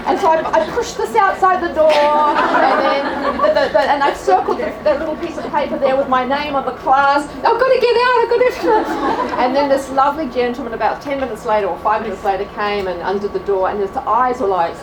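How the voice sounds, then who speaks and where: Australian woman, animated teacher voice, higher pitch, heard from far off in an echoey hall with a crowd. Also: brisk, few pauses, very noisy. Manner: chatty and animated, laughs at herself. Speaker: class presenter at a church event. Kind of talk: live talk to a class. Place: large room with an audience. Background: audience.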